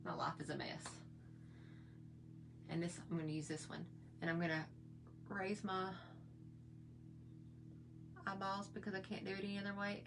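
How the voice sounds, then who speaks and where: A middle-aged woman with a slightly hoarse voice, chatting in a folksy, rambling way while she shows her makeup routine. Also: fairly low pitch, noisy background. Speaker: makeup vlogger. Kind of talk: casual makeup tutorial chat.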